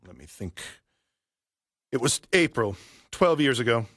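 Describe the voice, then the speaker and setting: An actor playing a polite, deferential, chatty barber in an audio play. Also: male voice, mid-range voice, expressive, a little slow, fairly bright tone, dry recording, studio quality. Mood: calm, probing. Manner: polite, deferential, repeatedly saying sir. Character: deferential, chatty barber quietly stalking his customer. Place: professional recording studio.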